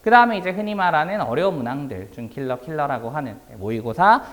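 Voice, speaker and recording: Guy teacher presenting his class, bit hoarse, polite and explainy, really up and down intonation. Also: a little high, animated, fast, fairly bright tone. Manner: polite, explanatory, formal Korean speech. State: slightly hoarse, voice worn out. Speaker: math academy instructor.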